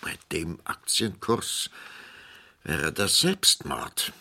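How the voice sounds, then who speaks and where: An actor playing a sly, hesitant Greek businessman in a vintage German crime radio play, speaking in a halting, theatrical way. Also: low-pitched, huge pitch swings, vocal fry, sharp tone, slightly rough, heavily acted. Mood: exasperated, wary.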